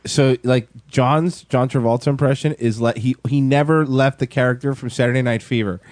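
A man's voice: medium pitch, steady tone, normal speed, darkish tone, dry recording. A man co-hosting a podcast, talking in casual, teasing banter that easily turns exasperated. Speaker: podcast co-host.

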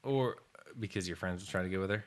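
A man's voice: low-pitched, quick pace. A man co-hosting a casual podcast, blunt and profane, laughing often. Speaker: podcast co-host.

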